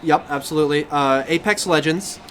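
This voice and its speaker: A man's voice: fairly high pitch, a little fast, a bit bright, a little hiss or hum. A man co-hosting a gaming podcast in a casual, conversational style. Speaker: podcast co-host.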